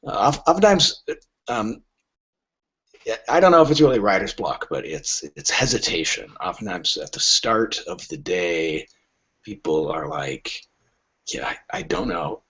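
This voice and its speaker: A man chatting casually as an interview guest, giving advice in a halting, storytelling way. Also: low voice, very animated, a bit bright, slightly rough. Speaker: podcast guest, literary agent. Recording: dull, lo-fi audio.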